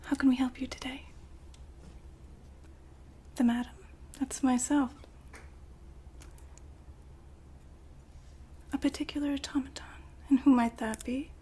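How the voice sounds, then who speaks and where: A woman playing the poised, businesslike madam of a futuristic establishment in an ASMR roleplay, whispering softly and formally to a client. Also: sits high, flat delivery. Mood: courteous, businesslike. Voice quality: soft, breathy whisper. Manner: polite, formal, unhurried saleswoman patter.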